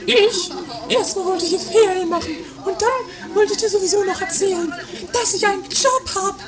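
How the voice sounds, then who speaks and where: An extremely high-pitched, flighty woman played in travesty by a man teases and dramatizes her way through a trashy improvised radio comedy. The amateur eighties recording has voices crowding in behind. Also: moderate pace, crowd noise. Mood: teasing. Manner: dramatic, mocking. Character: flighty, teasing woman Mathilde, aspiring comedienne.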